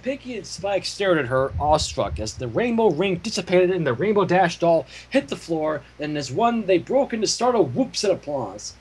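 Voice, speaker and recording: A man reading a story aloud as narrator, in a dramatic, expressive storytelling manner. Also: sits high, normal speed, hardly any pauses, slight background noise, low-quality recording. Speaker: fan fiction reader and narrator. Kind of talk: animated scripted story narration.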